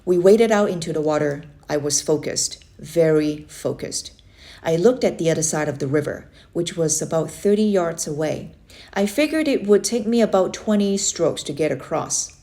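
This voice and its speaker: Low-voiced woman reading a children's story. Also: animated, moderate pace, mellow. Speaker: storybook reader. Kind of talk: expressive read-aloud narration of a children's book.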